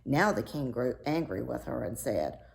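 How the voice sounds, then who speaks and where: A woman reading a fairy tale aloud as a storyteller, measured and expressive. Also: very deep voice, creaky.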